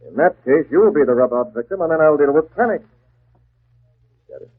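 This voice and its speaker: An actor playing a masked vigilante posing as a menacing crime boss, commanding and clipped, in 1940s radio style. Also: male voice, on the high side, animated, a little fast, slightly rough. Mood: threatening, commanding. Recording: muffled, tinny 1940s radio recording.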